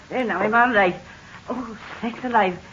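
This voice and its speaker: An actress in 1940s radio acting, playing a brisk, homespun motherly woman tending a sick man. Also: on the high side, fairly bright tone. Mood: fussing. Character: kindly motherly older woman, practical nurse. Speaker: Mrs. Donovan. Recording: dull hissy 1940 radio transcription.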